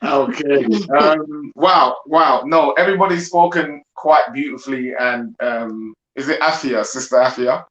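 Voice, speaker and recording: A man, a guest panelist, makes a measured argument over a video call and keeps checking in with "right?". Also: medium pitch, a bit bright, dull audio. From grew up in the United Kingdom. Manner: measured, builds his argument with frequent "right?". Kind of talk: discussion monologue. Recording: echoey room.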